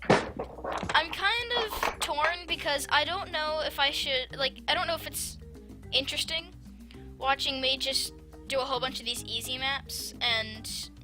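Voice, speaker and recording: High-voiced rambling gameplay commentator. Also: a little slow, pushed and bright. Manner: rambling, hesitant, many restarts. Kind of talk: casual let's-play chat. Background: game music.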